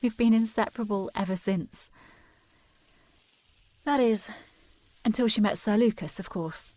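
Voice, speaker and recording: A woman playing a determined, formal young gentlewoman worried about her sister in a period audio drama. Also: mid-range voice, measured pace, no room sound, close to an everyday voice. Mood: wistful, confiding. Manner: formal, precise, insistent.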